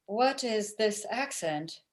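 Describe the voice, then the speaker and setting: A woman's voice: medium pitch, animated, slow pace. Blunt lovestruck robot played by a woman, mid-range voice, stilted machine-like delivery, sitcom script read on video call. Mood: flustered. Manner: stilted, uncontracted, machine-like phrasing. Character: blunt, lovestruck robot matchmaker with literal speech. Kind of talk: table read of comedy script.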